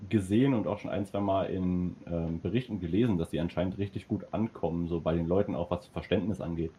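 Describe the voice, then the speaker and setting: Low mellow-voiced man, rambling. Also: quick pace. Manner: enthusiastic, rambling, many fillers. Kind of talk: casual chat.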